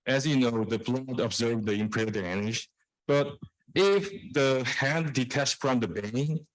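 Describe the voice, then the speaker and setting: A man giving a technical research presentation in a halting way, repeating and restarting phrases. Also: mid-range voice, no room sound, poor audio. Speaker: researcher presenting own work.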